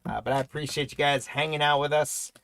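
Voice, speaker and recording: A man hosting a live trading card break, rattling off player names and congratulating viewers, his voice going hoarse. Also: on the high side, steady tone, fairly quick, fairly bright tone. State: voice weakening, losing it.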